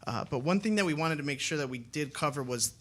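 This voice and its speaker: A man's voice: a little high, animated, fast talker, fairly bright tone. A young man from the Milwaukee area hosting a casual radio interview, chatty and rambling with many fillers. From Milwaukee area, Wisconsin. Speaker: radio show host.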